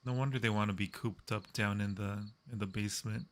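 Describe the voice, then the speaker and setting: A man's voice: on the low side, steady tone, fairly quick, slight background noise. A man hosting a casual live stream, making joking, wry asides over a film that he is watching. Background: film soundtrack and music playing.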